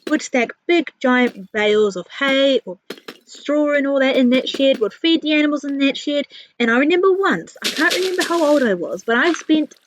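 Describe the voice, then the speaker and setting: A woman's voice: sits high, a little hiss or hum, low-quality recording. A woman with a New Zealand accent giving a casual, chatty voice-over for a gaming build vlog, candid and self-deprecating. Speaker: video creator, narrator.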